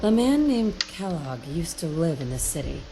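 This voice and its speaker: Determined searching mother, earnest, plain-spoken, lowish pitch, game dialogue, music behind. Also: big pitch swings, normal speed. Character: determined mother searching for her kidnapped baby. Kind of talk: video game voice acting.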